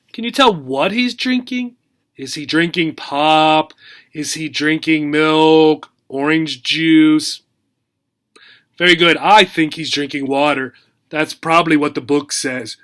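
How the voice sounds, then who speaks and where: A man reading a picture book aloud as a teacher, in simple short phrases, with animated questions for young listeners. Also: fairly high pitch. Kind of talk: children's read-aloud lesson, asking questions.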